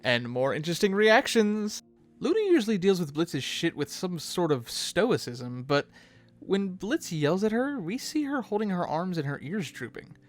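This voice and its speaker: A man's voice: high voice, sing-song, moderate pace, soft background music. A man co-hosting a fan show, delivering scripted back-and-forth commentary.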